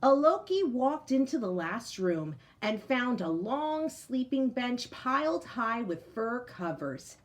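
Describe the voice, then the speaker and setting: Slow, lively woman reading a storybook. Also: fairly high pitch. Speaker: teacher reading aloud. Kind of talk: storybook read-aloud.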